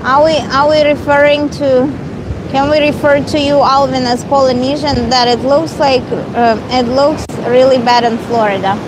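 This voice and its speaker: Woman, Russian native speaker, slow rambling live stream chat, fairly high voice, loud wind and surf behind. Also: fairly even intonation. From Eastern Europe, Russian family. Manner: slow, rambling, reads and answers viewer comments. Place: outdoors on a windy beach. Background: ocean waves and wind.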